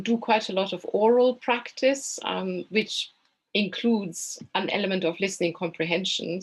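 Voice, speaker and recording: A German-accented woman teaching languages, giving a measured open-day presentation with many pauses and ums. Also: medium pitch.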